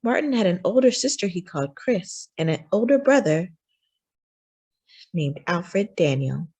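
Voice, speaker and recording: A woman reading a children's picture book aloud as a story time host, with expressive, deliberate phrasing for young listeners. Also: on the low side, husky.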